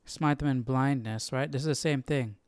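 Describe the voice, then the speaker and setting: A man with a smooth, clear voice thinks aloud in a casual study-stream style. He poses questions as he goes, and the sound is dry and close. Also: mid-range voice, normal speed, dry recording. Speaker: Bible study livestream host. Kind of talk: casual spoken reactions while studying.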